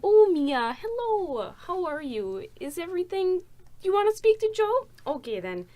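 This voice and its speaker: A woman's voice: very high voice, very animated, measured pace, mellow, full character voice. A woman acting a fussy, nosy, sweetly bossy mother in a student radio drama. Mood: cheery.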